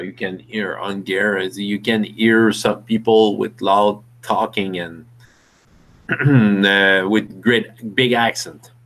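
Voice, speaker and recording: Slow french guy running a tabletop game, lots of stops and restarts. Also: lowish voice, a bit bright, poor audio. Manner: halting, many restarts and fillers. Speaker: game master.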